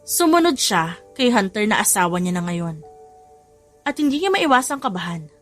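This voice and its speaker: A woman's voice: mid-range voice, sing-song, normal speed, a bit bright. A woman giving a scripted, dramatic narration of a story as an audiobook narrator. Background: background music.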